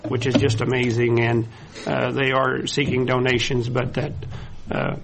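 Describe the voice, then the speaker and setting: Gravelly man emceeing a ceremony. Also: mid-range voice, little pitch movement, unhurried, background noise. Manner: informal, filler-heavy. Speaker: county representative, emcee. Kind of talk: ceremony remarks. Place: indoor community gathering.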